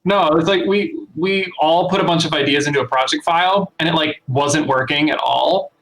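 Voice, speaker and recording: Male producer for casual talk, slightly high and sharply bright, informal delivery. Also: moderate pace, low-quality recording. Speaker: music producer on a talk show. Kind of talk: casual chat.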